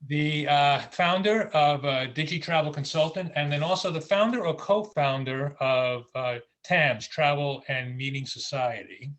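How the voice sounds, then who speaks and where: A man hosting an interview show on a video call, friendly and a bit halting with fillers. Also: on the high side, a bit bright. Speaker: interview host.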